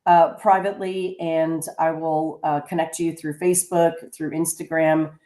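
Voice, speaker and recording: A woman hosting a radio talk show, chatty and halting, with many restarts. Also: low-pitched, little pitch movement, dark tone, echoey room. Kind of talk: casual radio chat.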